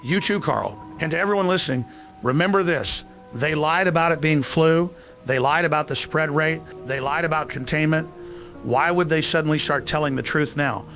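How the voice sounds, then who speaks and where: Man playing a paranoid, higher-voiced survivalist. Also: moderate pace, a bit bright, soft background music. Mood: bitter, insistent. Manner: terse, emphatic, insistent. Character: paranoid, defiant male survivalist distrusting all authority. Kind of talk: apocalyptic audio drama acting.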